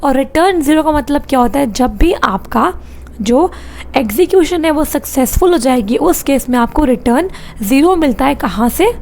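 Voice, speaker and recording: A woman's voice: sits high, animated, moderate pace, a little mellow. A woman teaching programming in an online exam-prep class, with a sore throat, explaining step by step and repeating key points.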